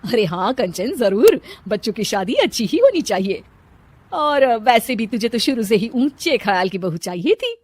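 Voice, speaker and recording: Woman playing high-voiced, judgmental lady friend. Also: very animated, normal speed, a little mellow, no room sound. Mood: polite, pointed. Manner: pointed, judgmental. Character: disapproving, catty middle-aged woman friend. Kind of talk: cartoon story acting.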